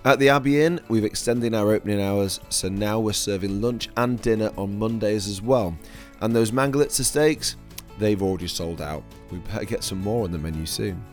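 Croaky-voiced male chef hosting a podcast. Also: fairly low pitch, normal speed, faint music behind. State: croaky, losing his voice. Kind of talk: scripted podcast narration.

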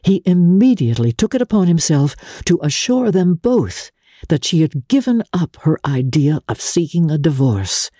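A woman with a husky voice giving a measured, dramatic reading of literary fiction in deliberate, expressive phrases. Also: sits low, no echo, studio quality. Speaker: reader of classic fiction. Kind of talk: dramatic literary audiobook narration.

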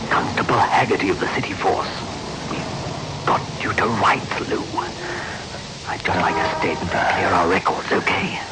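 A man's voice: sits low, normal speed, over loud music, natural voice. A man playing an insistent, matter-of-fact policeman questioning a suspect in a 1960s radio crime drama. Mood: firm, probing. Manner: firm, probing, matter-of-fact. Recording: noisy old radio recording.

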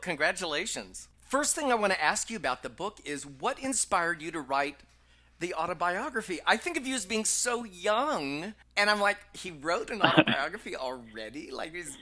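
Interviewer, effusive and gushing, bright forceful tone, mid pitch. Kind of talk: casual interview chat.

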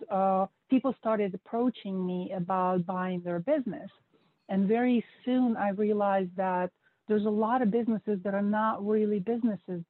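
A woman who learned English as an adult, a guest answering interview questions in a relaxed way, laughing easily and pausing to think. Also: mid-range voice, dry recording. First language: not English. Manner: relaxed, laughing, thoughtful pauses. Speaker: podcast guest, investor. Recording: dry thin phone-like audio.

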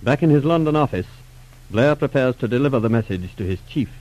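A man reads scripted narration as a forties radio announcer. His delivery is formal and dramatic, with a very animated rise and fall in pitch. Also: fairly quick, rough recording.

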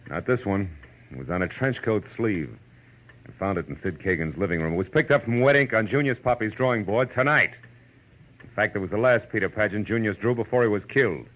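A man's voice: lowish voice, animated, a little fast. An actor playing a wry, unflappable American private eye in a 1940s radio drama, talking in clipped tough-guy slang. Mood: terse, pressing. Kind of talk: 1940s radio detective acting with first-person narration. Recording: dull vintage radio recording with loud music.

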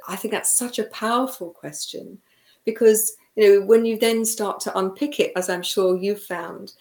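A woman's voice: mid-range voice, a little mellow. A British woman hosts an interview, talking thoughtfully with occasional hesitant fillers. Her voice carries a roomy echo. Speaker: host.

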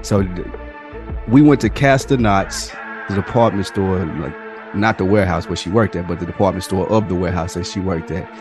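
A man's voice: low voice, a little fast, music in the background. A man co-hosting a podcast, telling stories and bantering in a casual, conversational way. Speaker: podcast co-host.

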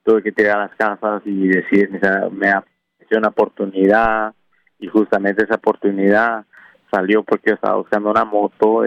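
Gravelly low voice over a phone line. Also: male voice, no echo. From Guatemala. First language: K'iche'. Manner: unhurried, hesitant storytelling with many pauses and fillers. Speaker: restaurant owner, guest. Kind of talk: phone interview. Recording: dull phone line, dry.

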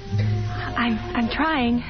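A fragile young woman, timid and gentle, with a highish voice and small hesitations before she commits to a phrase. It is period radio drama, heard through a muffled 1950s broadcast with faint music behind her. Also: very slow. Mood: timid, reluctant. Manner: hesitant, soft-spoken, timid stammering.

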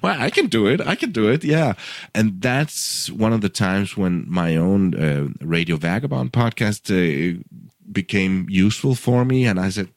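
A deep-voiced man in his fifties with a Danish accent, English not his first tongue. He talks in a loose, conversational way, restarting phrases and doubling words as he goes. The sound is dry and close. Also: unhurried, no echo. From Denmark. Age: 50s. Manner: repeats words and restarts often, chuckles, animated when quoting himself. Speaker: podcast guest, radio producer. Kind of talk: casual interview storytelling.

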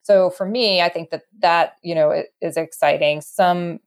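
Low-voiced woman explaining, halting and thoughtful. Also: fairly even intonation, clear, resonant tone. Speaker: real estate expert guest. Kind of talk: conversational interview explanation.

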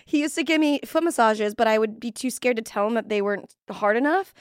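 A woman hosting a comedy advice podcast, with a slightly high, bubbly voice. She chats and banters freely and laughs easily. The sound is close and dry, with no room echo. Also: brisk, clear, resonant tone. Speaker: podcast host.

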